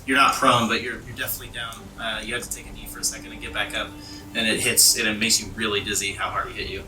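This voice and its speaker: A man's voice: lowish voice, level tone, fast. A man acting as game master, improvising vivid blow-by-blow narration of a tabletop game in casual language. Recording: roomy, distant sound with music behind. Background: background music.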